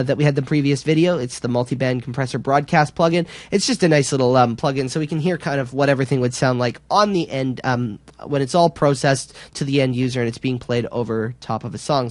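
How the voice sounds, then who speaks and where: A man gives a casual tutorial in a medium-pitched voice. He explains each step informally and a little hesitantly. The recording is dry, with very little room sound. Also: no room sound. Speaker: tutorial presenter.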